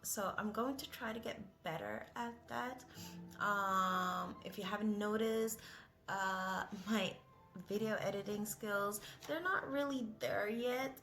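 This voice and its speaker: A woman's voice: mid-range voice, slow pace, fairly bright tone, soft background music. A young woman, not a native English speaker, talking in a casual, rambling vlog with many hesitant fillers. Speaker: vlogger.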